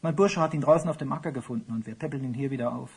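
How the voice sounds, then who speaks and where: A man playing a worried, grumbling royal falconer in a children's radio play, complaining theatrically in a husky voice. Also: mid-range voice, very fast, a little mellow.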